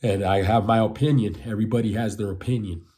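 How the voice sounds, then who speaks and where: Rambling fifties man, low mellow voice. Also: steady tone, fast. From United States. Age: 50s. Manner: rambling, repeats himself, tags lines with "man". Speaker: boxing channel host. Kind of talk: casual solo commentary.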